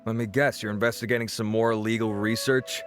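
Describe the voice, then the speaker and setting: A man's voice: on the low side, little pitch movement, fairly quick, music in the background, lightly acted. A man voicing a chatty, gossipy male institute worker with a judgmental tone. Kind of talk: video game voice acting.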